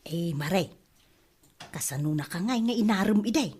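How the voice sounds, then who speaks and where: A woman's voice: lowish voice, expressive, normal speed, darkish tone, slightly rough. A woman with an Ilocano Filipino accent acting a nosy, teasing gossip in a radio comedy drama. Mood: curious, teasing.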